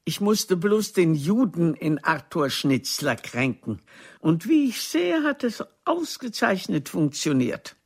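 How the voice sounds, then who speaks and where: Husky, imperious aging stage diva. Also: female voice, on the low side, very animated, darkish tone, full character voice. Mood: cold, proud. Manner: haughty, theatrical, deliberate, cutting. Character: proud, imperious, wounded aging theatre diva. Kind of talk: German radio drama acting.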